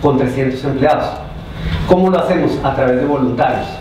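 A man's voice: mid-range voice, fairly quick. A middle-aged man with a Colombian accent giving an informal, conversational conference presentation, often checking in with the audience. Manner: conversational, addresses the audience with questions and check-in tags. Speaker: conference speaker. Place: large indoor conference hall. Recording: echoey conference hall, distant microphone, noisy.

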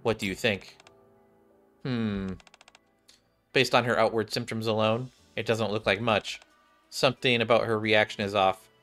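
A man's voice: fairly low pitch, fairly even intonation, a little slow, pauses often, fairly bright tone. A young adult man, a game streamer, reading visual novel dialogue aloud. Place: small indoor room. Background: game music.

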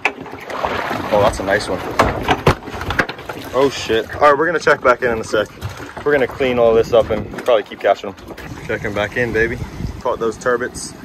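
A young man talking casually and excitedly while filming a fishing trip on a boat, swearing freely. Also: medium pitch, moderate pace, pauses often. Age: young adult. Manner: excited, swears casually, calls people bro. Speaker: fishing vlogger. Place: open boat at sea, outdoors. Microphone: handheld phone camera. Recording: loud wind and boat noise.

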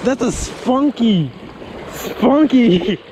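Young man, high voice, excitable and slangy, rushing river noise behind. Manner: excitable, repeats words fast, slangy. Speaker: angler netting fish. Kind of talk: excited casual outdoor banter. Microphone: head-mounted action camera.

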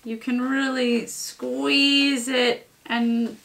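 A woman's voice: high-pitched, flat delivery, drawn-out words, gravelly, fairly bright tone. A woman in her thirties presenting casually with playful, theatrical emphasis in a home kitchen. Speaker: puppeteer presenter. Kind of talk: casual explainer.

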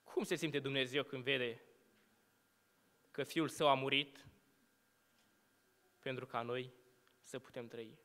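A young man preaching a sermon from a lectern, asking rhetorical questions and letting his phrases fall to hushed endings. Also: medium pitch, steady tone, long pauses. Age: young adult. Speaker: student preacher. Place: church hall at a lectern. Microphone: lectern microphone. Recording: long hall reverb.

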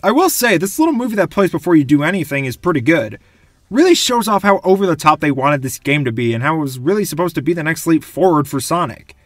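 A man's voice: on the high side, fast, no room sound, studio quality. A young man giving enthusiastic, gushing scripted commentary as a video game reviewer. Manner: gushing, animated.

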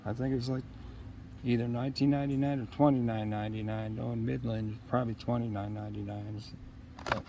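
A man's voice: medium pitch, little pitch movement, brisk. A middle-aged man chatting casually outdoors, musing to himself in short, hesitant fragments as he unboxes gear. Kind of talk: casual unscripted unboxing chat. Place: outdoors in a leafy yard. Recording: outdoor ambient noise behind voice.

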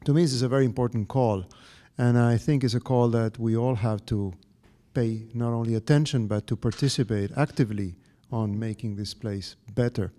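Brief: man, Argentine accent, mellow dark voice, halting scholarly audience question. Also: medium pitch, steady tone. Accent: Argentine Spanish. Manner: halting, many fillers and restarts, academic. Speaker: audience member. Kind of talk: question from the floor.

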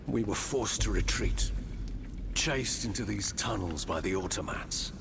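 An actor playing a proud, defeated French army officer in a dramatic video game cutscene. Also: male voice, lowish voice, expressive, measured pace, gravelly, background noise. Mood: shaken, defeated. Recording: game music behind the voice.

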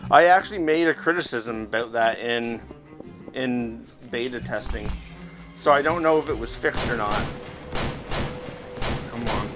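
A man's voice: medium pitch, unhurried, long pauses. A middle-aged man hosting a gaming video, explaining things casually with a self-deprecating manner as he plays. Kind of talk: casual gameplay commentary. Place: indoors, recording while playing VR game. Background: game music.

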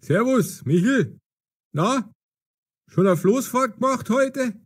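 Male actor as boisterous Bavarian local for audio drama, raspy-edged, animated delivery. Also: very high voice, slow pace, exaggerated voice. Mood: hearty. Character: boisterous Bavarian men: angler, card player, innkeeper. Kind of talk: German learner audio drama acting.

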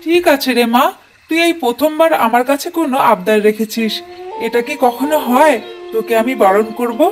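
A woman's voice: fairly high pitch, normal speed, slight background noise, pitched below the performer's own voice, close to an everyday voice. Woman as a doting headless ghost dad, shift-. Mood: indulgent. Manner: indulgent, reassuring. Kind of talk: children's cartoon voice acting.

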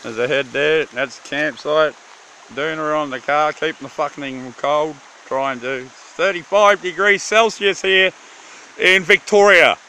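Casual Australian male, joking and foul-mouthed, forceful tone, handheld outdoor recording with background noise. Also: fairly high pitch, measured pace, rough recording. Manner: laid-back, sweary, slangy, joking. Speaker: camper filming a campsite tour. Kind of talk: casual handheld video tour. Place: outdoors at a bush campsite. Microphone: handheld phone or camera.

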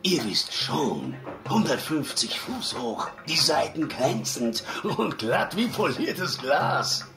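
An actor playing a loud, foul-mouthed, mocking thief in a fantasy audio drama, drawing out his taunts theatrically. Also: a little high, sing-song, slow pace, soft background music, heavily acted. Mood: gleeful mockery.

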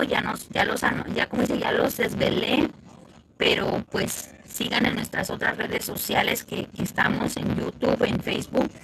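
A woman's voice: medium pitch, creaky, a bit bright, slight background noise, low-quality recording. A woman, whispering the closing words of a podcast as its host. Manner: whispering throughout. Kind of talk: whispered outro.

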